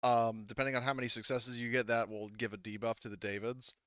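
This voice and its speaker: A man's voice: medium pitch, fast talker, clean tone, no echo, muffled recording. A man refereeing a tabletop roleplaying game as game master, talking casually with swearing and frequent laughter as he explains the rules. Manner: animated, laughs often while explaining rules.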